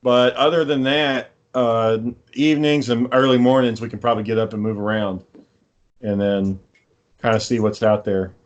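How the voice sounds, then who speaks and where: A man's voice: mid-range voice, steady tone, normal speed, highs cut off. A man co-hosting a casual podcast, telling stories in a blunt, profane way. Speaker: podcast co-host.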